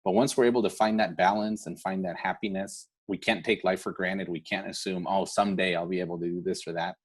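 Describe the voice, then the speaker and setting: A man's voice: sits low, steady tone, brisk, slightly rough. A man hosting an online awards event, speaking informally and from the heart in an earnest, encouraging way. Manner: earnest, encouraging, often seeking agreement with "right?". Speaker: event host for a scholarship foundation.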